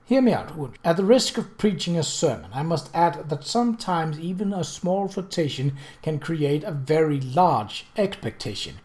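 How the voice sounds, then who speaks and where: A reader voicing a composed, perceptive upper-class lady, measured, formal and gently admonishing. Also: medium pitch, big pitch swings, voice pushed up, close to an everyday voice. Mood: grave, firm.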